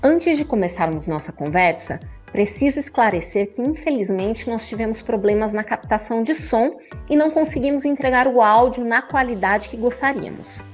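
A Brazilian woman with a high voice hosts a podcast, reading her introductions in a clear, measured way. Her voice rings in a roomy space. Faint music plays underneath. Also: lively intonation. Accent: Brazilian Portuguese. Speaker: podcast host. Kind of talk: scripted podcast hosting.

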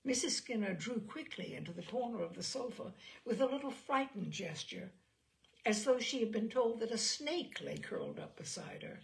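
A woman narrating literary fiction as a storyteller in a measured, expressive, dramatic style. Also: a little high, gravelly, low-quality recording.

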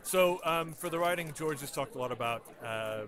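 Hesitant comic artist amid crowd noise. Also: male voice, a little high, moderate pace. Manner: hesitant, searching for words with many ums. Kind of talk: casual interview at a convention. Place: convention floor. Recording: noisy convention crowd behind.

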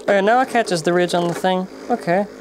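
A woman's voice: fairly low pitch, fairly quick. A woman giving casual, offhand commentary while testing toys, stumbling over and repeating words. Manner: offhand, repeats words and restarts, many fillers. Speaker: toy-testing video host. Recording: loud noise, clicks behind voice.

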